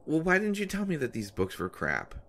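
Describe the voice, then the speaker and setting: A man's voice: on the high side, wildly swooping pitch, fairly quick, a bit bright, heavily acted. A man playing an anxious, devoted boyfriend of a pregnant woman in an intimate roleplay audio, speaking in a hesitant, stammering way. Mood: earnest, teasing. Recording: noticeable background noise.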